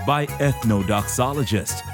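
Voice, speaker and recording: A man's voice: mid-range voice, a little slow. A man delivering a scripted promotional voice-over as an announcer. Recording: announcement over loud music. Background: instrumental music.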